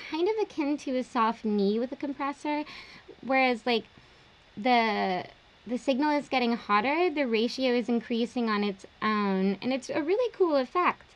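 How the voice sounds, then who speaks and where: A young woman producer casually walking through her vocal processing in a home studio, relaxed and chatty with plenty of fillers. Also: fairly high pitch, normal speed, rough recording. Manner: relaxed, explanatory, uses fillers like um and like. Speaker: music producer. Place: small home studio indoors.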